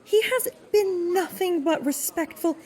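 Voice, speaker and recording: A woman plays a stubborn, theatrical pregnant wife. The voice is extremely high and unhurried, insistent in the manner of radio comedy. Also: warm tone. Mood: defiant. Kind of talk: comedic radio play acting.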